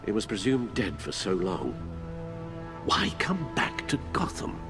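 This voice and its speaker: An actor playing a loyal older butler in a video game, formal and dryly witty, a fretful caretaker. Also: male voice, fairly low pitch, huge pitch swings, measured pace, full character voice. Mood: concerned, wry. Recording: music behind the voice.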